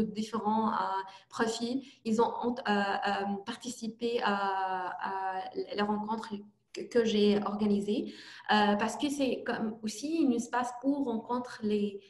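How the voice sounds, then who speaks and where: A young woman presenting her project in halting speech with many fillers and restarts, speaking as an over a video call. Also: mid-range voice, a little mellow, echoey room. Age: young adult. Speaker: youth forum participant presenting her project. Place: home, remote online event.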